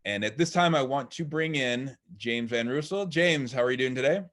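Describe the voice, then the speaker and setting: A man's voice: medium pitch, animated, moderate pace, fairly bright tone. A man hosting a live online interview, talking in a rambling way with many fillers and restarts. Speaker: host. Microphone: video call.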